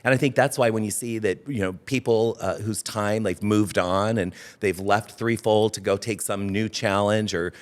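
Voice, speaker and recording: A middle-aged man, a guest agency founder, talking in a relaxed, upbeat way in a casual interview. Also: on the low side, moderate pace, few pauses. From born in New Zealand, raised in South America. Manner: relaxed, upbeat, many "yeah"s.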